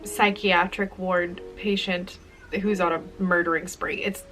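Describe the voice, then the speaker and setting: A young woman hosting a casual vlog as an author, talking in a jokey, self-deprecating way with animated asides. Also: fairly low pitch, measured pace, soft background music, low-quality recording. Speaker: author and video host. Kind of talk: casual chatty vlog to camera.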